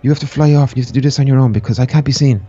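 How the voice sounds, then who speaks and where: Man as rapid-fire, anxious young boy. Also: medium pitch, steady tone, dull audio, lightly acted. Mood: urgent. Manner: urgent, repeats phrases. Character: sick, sad young boy slave, anxious and caring. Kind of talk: improvised Minecraft roleplay. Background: music.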